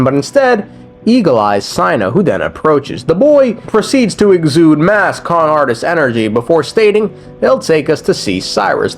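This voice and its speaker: Lively, higher-pitched man recapping game lore. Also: gravelly. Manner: irreverent, joking asides. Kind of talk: casual scripted story recap with slangy asides.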